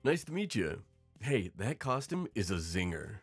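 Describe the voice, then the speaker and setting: A man's voice: fairly low pitch, very animated, moderate pace, breathy edge, no echo, soft background music. A man playing an easygoing, slightly nervous boyfriend in an audio roleplay, talking in a casual, affable way. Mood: friendly.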